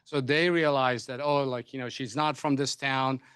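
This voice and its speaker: A man's voice: medium pitch, fairly even intonation, normal speed, fairly bright tone, smooth, dry recording, clean studio sound. A man whose first language is Persian, moderating a panel discussion, often hesitating and restarting his sentences. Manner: hesitant, many restarts and fillers.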